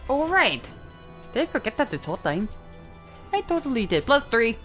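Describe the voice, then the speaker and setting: A woman's voice: on the high side, sing-song, moderate pace, fairly bright tone, soft background music. A woman playing a tabletop game, chatting casually and playfully with little made-up sound words.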